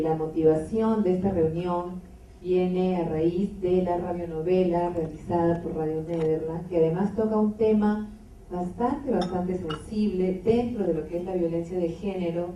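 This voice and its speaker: A woman moderating a public debate, speaking off the cuff and deliberately, with pauses between phrases. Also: fairly low pitch. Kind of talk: spontaneous introduction opening a public debate. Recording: echoey, distant, dull, slightly noisy room recording.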